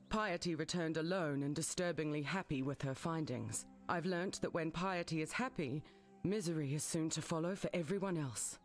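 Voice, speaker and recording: An actress voicing a wry, learned researcher in a video game, recounting dark history in articulate, dry speech. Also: female voice, low-pitched, close to an everyday voice. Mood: dry, uneasy. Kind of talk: video game narrative dialogue.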